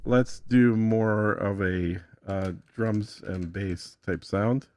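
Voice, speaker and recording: A man's voice: on the low side, slow pace, darkish tone, dry recording. A man giving a casual, conversational tutorial as a guest, thinking aloud in an easygoing way. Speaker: guest demonstrating an AI music tool.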